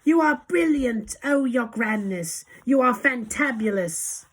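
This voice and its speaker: A woman voicing excitable, fawning, ghoulish witches with shrill chanting cries in a storybook reading. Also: takes it slowly. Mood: excited, fawning. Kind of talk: dramatic audiobook character acting.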